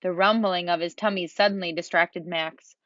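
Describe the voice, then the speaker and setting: A woman reading a children's picture book aloud in a gentle, even storytelling manner. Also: on the low side, moderate pace, no echo. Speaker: storybook reader. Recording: dull lo-fi dry recording.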